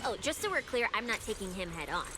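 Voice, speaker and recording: A woman playing a cheeky, excitable young case specialist in a video game, expressive and boastful. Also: medium pitch, quick pace, music in the background, natural voice. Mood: breezy, wary. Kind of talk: animated video game voice acting.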